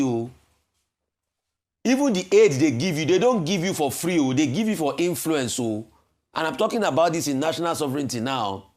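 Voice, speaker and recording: A Nigerian man giving a security commentary on television, forceful and full of rhetorical questions. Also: a little high.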